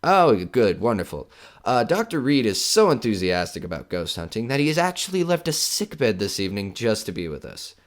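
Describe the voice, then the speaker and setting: A man's voice: lowish voice, sing-song, normal speed. A man playing a chatty radio host who hunts ghosts, folksy and showman-like, with jittery bravado in an old-time broadcast style. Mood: chatty, genial. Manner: folksy, showman-like, nervously jokey. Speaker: radio host in an audio drama.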